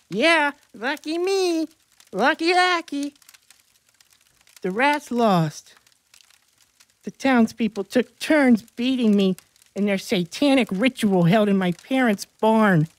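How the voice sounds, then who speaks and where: A woman plays a scrawny teenage boy in an audiobook story, pleading and full of self-pity. He speaks slowly and dramatically, in a very dry recording. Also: on the high side, dry recording. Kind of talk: audiobook character acting.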